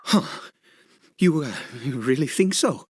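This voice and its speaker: An actor playing a desperate, obsessive father in a video game, speaking in a halting, stammering, nervous mutter. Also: fairly high pitch, lively intonation, darkish tone, husky, dry recording, studio quality. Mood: shaken, resolving. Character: desperate, obsessive father brewing medicine for his son. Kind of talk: dramatic video game voice acting. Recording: dialogue over background music.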